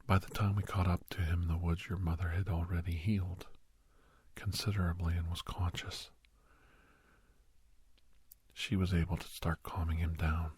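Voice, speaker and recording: A man playing a grave doctor in an ASMR roleplay, telling a haunting memory in a hushed, halting, breathy voice that often drops to a whisper. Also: low voice, level tone, no room sound. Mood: grave, intent. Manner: halting, hushed, dramatic delivery. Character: grave male doctor recounting a frightening memory to youngster.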